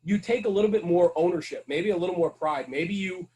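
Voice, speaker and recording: A man's voice: high-pitched, fairly quick, darkish tone. A man speaking as a podcast guest, telling stories conversationally in an earnest, emphatic way with rhetorical check-ins. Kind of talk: conversational storytelling about firehouse culture.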